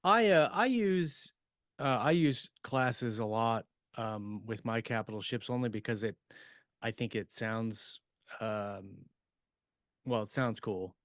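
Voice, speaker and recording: A man co-hosting a podcast in casual chat, thinking aloud in a halting, tentative way. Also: lowish voice, lively intonation, a bit bright, dry recording, studio quality. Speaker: podcast co-host, science fiction author. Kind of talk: casual chat about writing craft.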